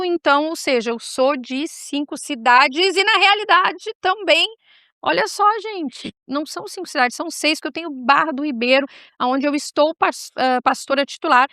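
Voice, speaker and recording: A woman with a high voice and a southern Brazilian gaúcho accent hosts a talk show. She chats informally, wandering off and turning back to address the audience directly. The sound is dry and close. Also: moderate pace, sharp tone, dry recording. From Rio Grande do Sul, Brazil. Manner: chatty, digressive, addresses viewers directly. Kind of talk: casual talk show chat.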